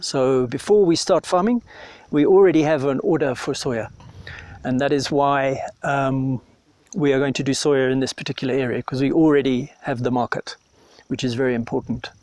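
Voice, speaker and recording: A man from Zimbabwe, a farm technical advisor, talking in a documentary interview, relaxed and pausing often with ums. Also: mid-range voice, no echo. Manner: relaxed, hesitant with many ums.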